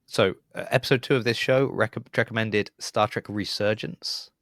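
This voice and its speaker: A man's voice: lowish voice, steady tone, moderate pace, a bit bright, dry recording, clean studio sound. A man hosting a podcast in casual conversation, chatty and rambling with a wry streak. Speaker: podcast host.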